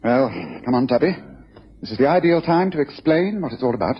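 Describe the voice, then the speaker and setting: Muffled, noisy radio recording, male actor as observant English gentleman sleuth, brisk, probing, precise, lively pitch. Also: lightly acted. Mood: keen, probing. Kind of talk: radio drama acting.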